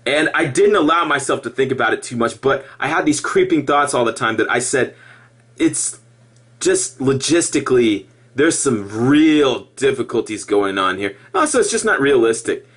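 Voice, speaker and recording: A man giving an impassioned personal monologue to viewers, halting and repeating himself, with emphatic stresses. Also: mid-range voice, lively intonation, a bit bright, slightly rough. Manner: halting, repetitive, with emphatic outbursts. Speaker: former Jehovah's Witness video blogger.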